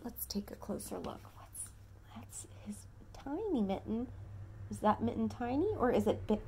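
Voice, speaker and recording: A woman in her thirties reading a picture book aloud to a toddler at home, dramatically, pausing to ask simple questions. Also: on the high side, sing-song, darkish tone, a little hiss or hum. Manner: dramatic, childlike questioning and prompting. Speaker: parent reading a bedtime story to a toddler. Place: small quiet room at home.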